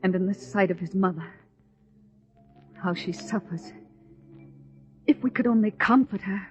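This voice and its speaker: An actress playing a sorrowful woman disciple in a radio drama, speaking softly. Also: mid-range voice, unhurried, natural voice. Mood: grieving. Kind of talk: vintage radio drama acting. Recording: dull radio drama recording with music.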